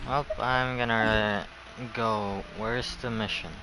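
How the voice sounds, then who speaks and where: A man's voice: lowish voice, fairly even intonation, slow, poor audio. Casual male gamer over game music. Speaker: gamer, streamer. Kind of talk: casual gameplay commentary.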